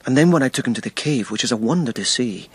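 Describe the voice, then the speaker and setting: An actor playing a blunt, sceptical but protective army lieutenant in a radio drama, plain-spoken and a little husky. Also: male voice, medium pitch, animated, fast. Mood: uneasy concern.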